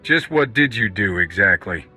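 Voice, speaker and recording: An actor playing a gruff, sceptical mercenary with a blunt, dry manner in a video game cutscene. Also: male voice, on the low side, expressive, moderate pace, pushed and bright, soft background music.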